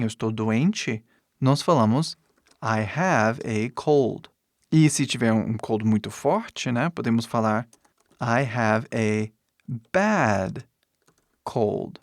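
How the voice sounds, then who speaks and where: A man with an English speaker's foreign accent, a native English speaker, teaching in a deliberate way with pauses between short phrases and example sentences. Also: mid-range voice, no room sound, studio quality. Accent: English-speaking foreigner's accent in Portuguese. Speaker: English teacher giving an online lesson. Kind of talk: instructional lesson with example sentences.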